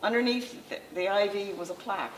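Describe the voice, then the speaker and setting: An older woman giving an informal slideshow lecture in a library meeting room, talking in a conversational, halting way with frequent restarts. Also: medium pitch. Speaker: local historical society president giving a lecture. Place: library meeting room, indoors, small audience. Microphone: far camera, masked speaker. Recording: distant camera mic with faint room noise.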